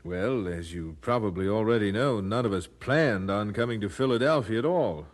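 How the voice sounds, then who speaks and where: An actor playing an earnest English preacher in a radio drama, speaking formally and with measured courtesy. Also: male voice, fairly low pitch, animated, natural voice. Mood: courteous. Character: earnest, grave English preacher, travelling chaplain, man.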